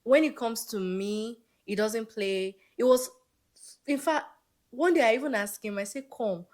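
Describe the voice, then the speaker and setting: A woman from Africa, a guest in a casual interview, talking earnestly and growing animated as she tells her story. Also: fairly high pitch, measured pace.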